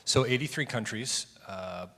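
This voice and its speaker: A man's voice: mid-range voice, unhurried, pushed and bright. A man moderating a fireside chat, chatty and joking, stumbling over the start of his questions. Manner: chatty, joking, with stammered restarts. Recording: reverberant hall.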